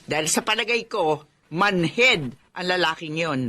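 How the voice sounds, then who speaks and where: A woman's voice: fairly low pitch, expressive, unhurried, sharp tone. An actress playing a middle-aged Filipino mother in a radio drama, protective and exasperated, scolding in an emphatic, reproachful way. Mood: pained, reproachful.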